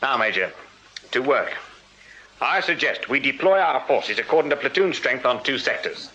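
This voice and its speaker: An actor playing a shrewd Victorian police sergeant in a radio drama, speaking in a dry, authoritative, deliberate English voice. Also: male voice, mid-range voice, sing-song, pushed and bright. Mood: brisk, businesslike. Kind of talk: radio period drama acting. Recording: slightly dull, hissy radio drama recording.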